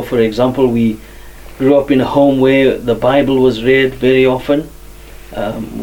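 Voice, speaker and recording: A man from Zimbabwe tells his story as a guest, pausing often and filling gaps with ums. His voice is mellow and dark. He sits a little back from the microphone. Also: medium pitch, distant mic, rough recording. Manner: many fillers, soft-spoken. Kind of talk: hesitant personal testimony.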